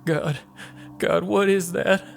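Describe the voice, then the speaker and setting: A haunted middle-aged gentleman father played by a narrator in a gothic audio drama. He speaks slowly and fearfully, in a hushed, halting, creaky voice. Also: mid-range voice, fairly even intonation, faint music behind. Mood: terrified, hushed.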